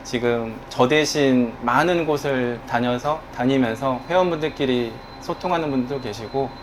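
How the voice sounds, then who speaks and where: A man's voice: medium pitch, normal speed, slight background noise. A man in his thirties, a guest on a talk show, speaking earnestly and reflectively about his work. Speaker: guest, online community manager. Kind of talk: casual conversation.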